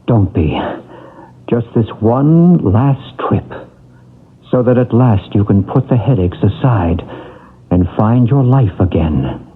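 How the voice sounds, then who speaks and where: Calm hypnotist, soft soothing delivery, husky voice. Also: male voice, fairly low pitch, slow, exaggerated voice. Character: calm hypnotist and paranormal expert. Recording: tinny old radio broadcast.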